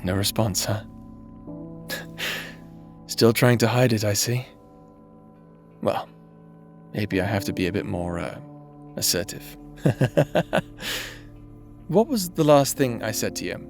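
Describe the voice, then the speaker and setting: A man's voice: on the low side, expressive, slow, long pauses, faint music behind. A man voicing a remorseful necromancer husband who now haunts his partner's memory, speaking tenderly and teasingly in a roleplay audio drama. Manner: tender, teasing, softly spoken.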